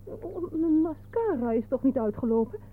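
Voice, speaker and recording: An actress playing a coy, gullible, fretful office spinster in a radio play, theatrical and easily flustered. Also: female voice, high-pitched, lively intonation, moderate pace. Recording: narrow, tinny old radio recording.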